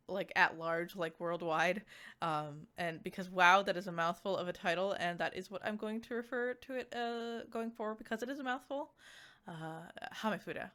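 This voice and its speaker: A woman's voice: medium pitch, a bit bright. A woman giving a casual, unscripted game review as a VTuber, rambling and giggly as she interrupts herself.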